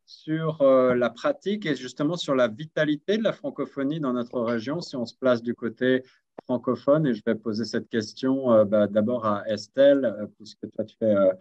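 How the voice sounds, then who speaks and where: Halting male radio host, muffled sound. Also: medium pitch, a little mellow. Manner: hesitant, halting, with many restarts. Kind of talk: moderating a radio panel. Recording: dull lo-fi radio audio.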